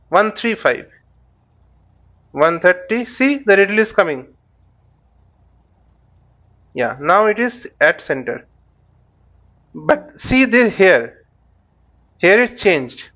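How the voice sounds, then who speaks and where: A man giving an unscripted step-by-step tutorial as an instructor, halting and thinking aloud, often posing questions and answering them himself. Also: high-pitched, sharp tone, highs cut off. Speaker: flight simulator instructor. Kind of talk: unscripted tutorial with live demonstration. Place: voice-over recorded while using a flight simulator.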